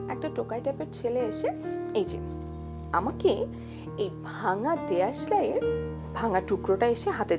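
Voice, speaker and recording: An actress playing a sentimental married woman, telling her love story in a girlish, conversational Bangladeshi voice in a TV drama. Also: a little high. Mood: fond. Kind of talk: TV drama dialogue, intimate storytelling. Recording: music bed under the voice.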